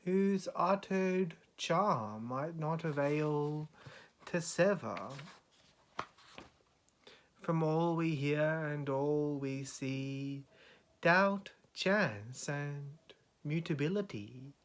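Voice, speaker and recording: Very slow, solemn male poetry reader. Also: on the high side. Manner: solemn, measured delivery with deliberate pauses. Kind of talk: dramatic poetry recitation.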